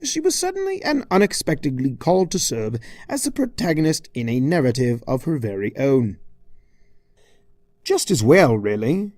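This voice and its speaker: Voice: mid-range voice, huge pitch swings, moderate pace, a little mellow, no room sound. A storyteller reading a comic fan story aloud in an arch, mock-grand, theatrical way. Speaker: fan fiction narrator. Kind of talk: theatrical comic scripted narration.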